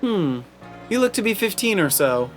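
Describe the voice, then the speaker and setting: A woman voicing a stern, aloof captain in a video game, formal, measured and cool, with music behind. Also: mid-range voice, big pitch swings, natural voice. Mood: stern, appraising. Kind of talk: voiced video game dialogue.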